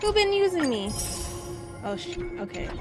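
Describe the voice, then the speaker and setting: A woman's voice: very high-pitched, sing-song, moderate pace. A woman chatting casually on a game livestream in a blunt, slangy way, with some swearing. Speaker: game streamer. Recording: loud game music behind the voice. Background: game music and dialogue.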